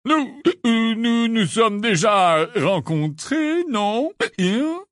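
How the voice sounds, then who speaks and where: A man's voice: very high-pitched, animated, drawn-out words, fairly bright tone, dry recording, studio quality, pitched above the performer's own voice, full character voice. A man voicing a vain, befuddled wizard professor, exuberant and airy, stumbling over and repeating his words. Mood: confused. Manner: hesitant, repetitive, chuckling, airy.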